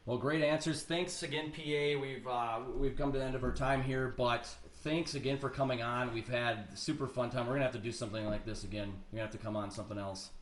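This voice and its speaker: A man's voice: medium pitch, steady tone, fast, hardly any pauses. A man with an American accent hosting a casual interview, asking questions in an upbeat, agreeable way. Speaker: interviewer, show host. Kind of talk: casual rapid-fire interview. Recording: echoey, roomy sound.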